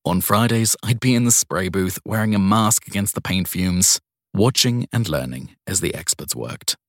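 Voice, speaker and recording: A man narrating a first-person crime story as an audiobook, in an expressive storytelling manner. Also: low voice, moderate pace, no room sound, clean studio sound.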